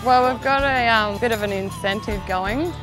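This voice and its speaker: A woman's voice: fairly high pitch, moderate pace, over music. A woman talking casually in an interview as a solar farm worker.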